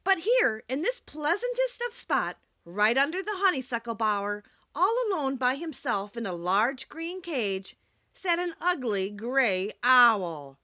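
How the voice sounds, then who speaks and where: A woman narrating a children's storybook in an expressive, dramatic storytelling manner. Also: sits high, measured pace, no echo, highs cut off. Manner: animated, storytelling delivery with dramatic emphasis. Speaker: audiobook narrator.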